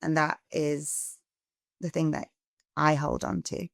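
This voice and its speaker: Woman, low pitch, dry close recording, earnest podcast guest, middle-aged. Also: slow pace, gravelly, no room sound. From England. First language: English. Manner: earnest, animated when appealing for help. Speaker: guest, charity founder. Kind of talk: podcast interview.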